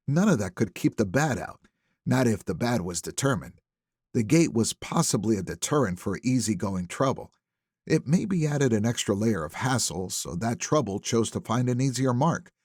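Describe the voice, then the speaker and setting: A man narrating a first-person thriller audiobook in a wry, conversational, expressive manner. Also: lowish voice, husky, dry recording, studio quality.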